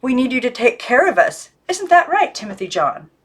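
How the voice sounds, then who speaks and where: A woman voicing a dainty female doll in a high voice, sweet and pleading, for a storybook. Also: big pitch swings, normal speed, breathy edge.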